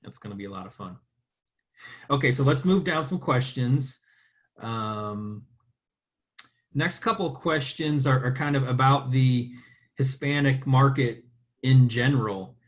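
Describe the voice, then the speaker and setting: A man's voice: mid-range voice, measured pace, long pauses. Easygoing man hosting a call interview. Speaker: host. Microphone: video call.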